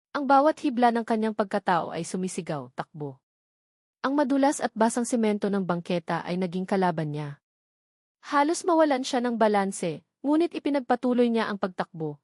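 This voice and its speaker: Female narrator for dramatic audio fiction, medium pitch, dry studio-like sound. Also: darkish tone, dry recording. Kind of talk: dramatic scripted story narration.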